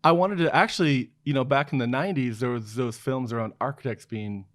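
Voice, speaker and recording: A man's voice: medium pitch, fairly quick, dry recording, clean studio sound. Easygoing middle-aged man telling stories. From Oregon. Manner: easygoing storyteller, chuckles through answers. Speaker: guest, design executive. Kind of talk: casual interview chat. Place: small podcast studio. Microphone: studio mic on boom arm, headphones.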